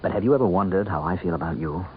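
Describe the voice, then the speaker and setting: A man's voice: sits low, lively intonation, racing. An actor playing a suave, mocking minor demon who passes for a man, with urbane, theatrical politeness in a radio mystery drama. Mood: suave, sardonic. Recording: muffled, band-limited old radio broadcast with noise.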